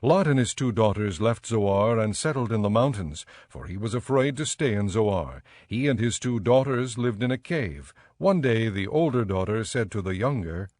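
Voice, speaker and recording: A man narrating scripture from a script in a measured storytelling manner. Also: on the low side, expressive, a little mellow, dry recording, clean studio sound. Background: faint background music.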